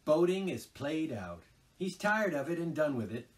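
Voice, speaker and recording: Man playing a brisk friendly water rat, lively sing-song voice, distant mic. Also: on the high side, pitched above the performer's own voice. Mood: knowing. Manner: brisk, hearty, chatty.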